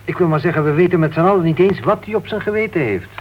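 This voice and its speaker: A man's voice: on the high side, lively intonation, fast talker, close to an everyday voice. An actor playing a sardonic, needling man in a writers' club, dry and teasing, in a Dutch radio play. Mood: questioning. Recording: dull, noisy old radio recording.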